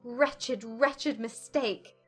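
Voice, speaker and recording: A woman playing an agitated, self-reproaching young gentlewoman in formal dialogue from a dramatized period audiobook. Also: on the high side, drawn-out words, breathy edge, faint music behind, heavily acted. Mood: wretched self-reproach.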